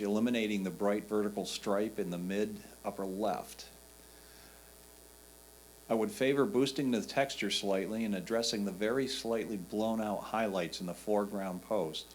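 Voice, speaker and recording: Low-voiced man reading out written critique. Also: rough recording. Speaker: reader of a judge's written comments. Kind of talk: read-aloud critique. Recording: faint electrical hum.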